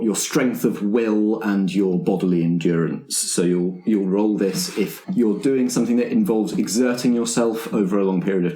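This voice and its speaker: A man acting as game master, explaining tabletop game rules to his players in a halting, explanatory way. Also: on the low side, mellow. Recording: roomy, echoing room sound.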